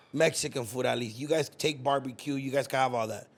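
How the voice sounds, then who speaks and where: A young man from California hosting a casual podcast chat, animated and teasing, swearing freely. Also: medium pitch. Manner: animated, swearing, quick to tease. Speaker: podcast host.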